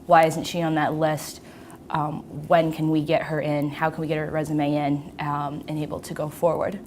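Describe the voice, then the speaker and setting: Low, flat-voiced young woman from Maine. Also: normal speed, a little hiss or hum. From Maine, USA. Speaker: business school graduate. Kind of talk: testimonial interview.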